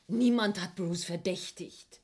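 An actress in a 1970s German radio drama playing an anxious woman who soothes others in a hushed, pleading way. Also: lowish voice, slow, noisy background. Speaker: radio play actor.

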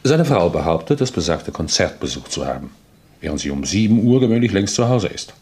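Junior detective in radio crime drama: lowish voice, animated intonation, keen, methodical, insistent. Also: male voice, a little fast, gravelly, heavily acted. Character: keen, persistent male junior detective reporting to his superior. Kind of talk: radio play acting.

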